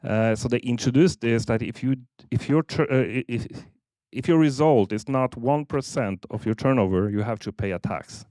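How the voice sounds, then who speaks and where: A man's voice: mid-range voice, dark tone, no room sound. Norwegian-raised man, 50s, hesitant seminar presenter, clean dry close mic. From Norway. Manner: halting, many fillers and restarts. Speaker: seminar speaker. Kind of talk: business presentation.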